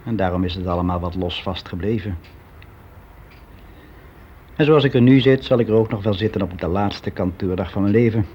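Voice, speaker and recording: An actor playing a weary, resigned office clerk around sixty, confiding in a halting, reflective way in a naturalistic radio play. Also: male voice, fairly low pitch, close to an everyday voice. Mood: resigned, regretful. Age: 60s. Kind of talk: naturalistic radio drama monologue. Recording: dull, noisy radio play recording.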